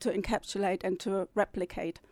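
A woman whose first language is German talks at a middling pitch. She is a publisher thinking through her answers aloud, with frequent restarts. The sound is close and dry. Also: fairly even intonation, moderate pace, a little mellow, dry recording. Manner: thoughtful, with many restarts and fillers. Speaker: publisher and novelist. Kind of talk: interview answers.

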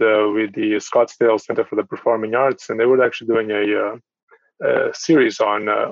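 A middle-aged man from Portugal answering interview questions as a podcast guest, speaking haltingly and repeating words as he goes. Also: medium pitch, gravelly, dry recording. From northern Portugal. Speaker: podcast guest, town mayor. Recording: dull, muffled lo-fi audio.

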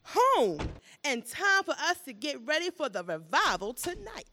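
Lady acting as a fussy preacher's wife in a radio play, bright voice that swings up and down, calls everyone baby. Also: high-pitched, sing-song, a little slow, slight background noise, full character voice. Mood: proud. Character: pastor's proud, appearance-minded wife and fussing mother. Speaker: actor in an audio drama. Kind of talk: audio drama acting.

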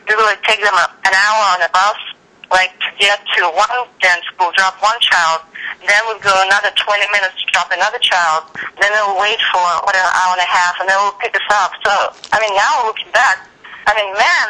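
A woman's voice: mid-range voice, bright, forceful tone, low-quality recording. A young woman from Ukraine with a Ukrainian accent, chatting as an interview guest in a giggly, filler-heavy way. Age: young adult. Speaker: guest, actress and musician. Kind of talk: casual interview chat.

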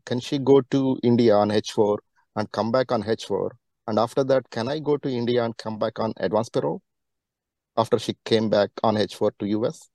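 A man's voice: mid-range voice, moderate pace, dark tone, no echo. A man calling in to ask for advice, laying out his question step by step. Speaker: caller asking for advice. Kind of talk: careful question and answer on a call.

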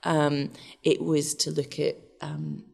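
A middle-aged woman from the west of Scotland with a Scottish accent, telling stories in a relaxed, animated way as an interview guest. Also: low voice, takes it slowly, gravelly, slightly rough. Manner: chatty, animated, laughs often. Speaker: interview guest, research scientist. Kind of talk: casual storytelling interview.

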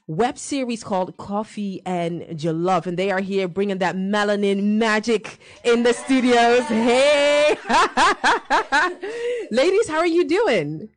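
Bubbly woman radio host, laughing often. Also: mid-range voice. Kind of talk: casual radio interview. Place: radio studio.

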